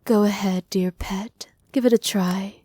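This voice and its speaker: A woman playing a doting, possessive witch in an ASMR roleplay, speaking softly with teasing, condescending affection. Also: mid-range voice, steady tone, unhurried, husky, no echo. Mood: soft, coaxing. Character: doting, possessive witch mistress pampering her captive pet. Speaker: voice actor.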